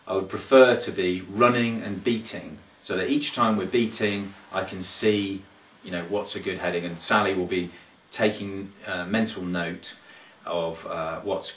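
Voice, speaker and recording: A man's voice: low voice, fairly bright tone. A man in his thirties giving casual sailing tips to camera, thoughtful and hesitant, with many fillers and restarts, recorded indoors in a small room on the camera mic. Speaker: sailing coach. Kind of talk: casual instructional talk to camera. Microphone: camera mic from a few feet away. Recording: roomy, distant camera audio.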